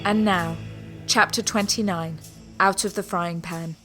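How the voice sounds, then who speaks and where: A woman's voice: fairly low pitch, steady tone, a little slow. A woman narrator reading a scripted, dramatic story recap for an audio drama. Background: music.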